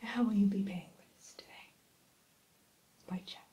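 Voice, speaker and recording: A woman playing a polite, primly formal evil queen shopkeeper in a soft, unhurried ASMR whisper. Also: medium pitch, steady tone, close to an everyday voice. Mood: courteous, businesslike. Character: genteel evil queen running an enchanted mirror shop. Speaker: shopkeeper character in ASMR roleplay.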